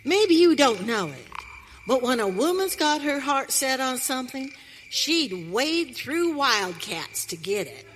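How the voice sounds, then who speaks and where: A woman's voice: sits high, sing-song, a bit bright, exaggerated voice. A woman playing a feisty pioneer wife in a western radio play, with an American accent and folksy, emphatic frontier speech. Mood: resolute. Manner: folksy frontier dialect, emphatic, drawn-out delivery. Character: Maggie, feisty pioneer wife on a wagon train. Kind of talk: live western radio theater acting. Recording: hall reverb, faint noise.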